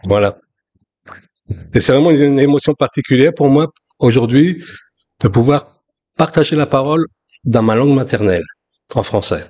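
A man from France in his sixties, preaching a sermon in a relaxed, conversational way with frequent restarts. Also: mid-range voice, normal speed, long pauses. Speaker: pastor.

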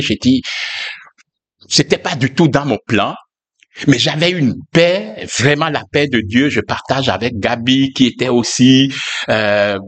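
A man with a mid-range voice tells his story as a pastor sharing a personal testimony. He speaks reflectively and hesitantly, pausing often with fillers as he searches for words. His pitch sits in the ordinary middle for a man.

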